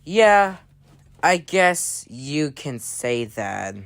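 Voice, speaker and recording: A woman playing an excitable space weather watcher in an amateur disaster drama, melodramatic and given to exclaiming. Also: sits low, drawn-out words, no echo, heavily acted. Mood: uneasy. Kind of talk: amateur disaster scenario acting.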